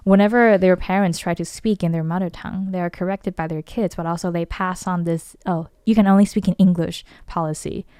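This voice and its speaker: Low-voiced woman hosting a podcast. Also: fairly even intonation, a little fast, few pauses, warm tone, smooth, no room sound, studio quality. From Taiwan. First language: Mandarin Chinese. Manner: thoughtful, rambling, many restarts and self-corrections. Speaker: podcast host. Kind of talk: casual podcast discussion.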